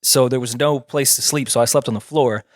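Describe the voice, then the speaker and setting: A man co-hosting a casual podcast, telling stories in a relaxed way and laughing easily. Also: mid-range voice, a little fast, no room sound, studio quality. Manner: laid-back storyteller, laughs easily. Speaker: podcast co-host.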